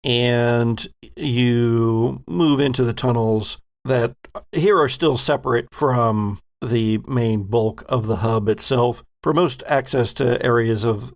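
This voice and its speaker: A man running a tabletop game as game master, setting scenes with deliberate narration and frequent fillers. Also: medium pitch, vocal fry.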